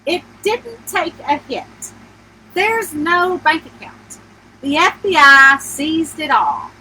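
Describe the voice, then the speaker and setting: A woman voicing a matter-of-fact male lawyer in a story reading, deliberate and unsympathetic. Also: high voice, animated, bright, forceful tone, slight background noise, exaggerated voice, low-quality recording. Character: Stanley, matter-of-fact male lawyer delivering bad news.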